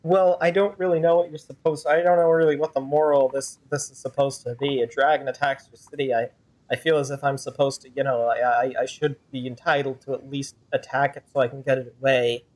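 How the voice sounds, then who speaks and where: A woman streaming a game, chatting casually and wryly in halting, improvised commentary. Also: rumbling low, fairly even intonation, darkish tone. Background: game music.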